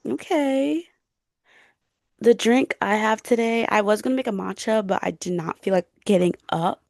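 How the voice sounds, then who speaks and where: A woman's voice: medium pitch, moderate pace, vocal fry, a little mellow, no room sound, clean studio sound. A young woman co-hosting a podcast in casual, chatty, slangy conversation. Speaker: podcast co-host.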